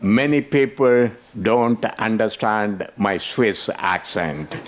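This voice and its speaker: An older man runs a conference workshop at an unhurried, deliberate pace. His delivery is loose and playful, and he keeps breaking off to tease people in the room. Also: fairly low pitch, animated, a crowd in the background. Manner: joking, teasing the audience. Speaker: workshop presenter. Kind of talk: interactive conference workshop talk.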